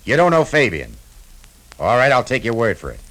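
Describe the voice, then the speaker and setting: An actor playing a tough, terse American cafe owner in a 1950s radio drama, with a clipped, hard-boiled, understated manner. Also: male voice, medium pitch, huge pitch swings, brisk, a bit bright, heavily acted, low-quality recording. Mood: pressing, stern.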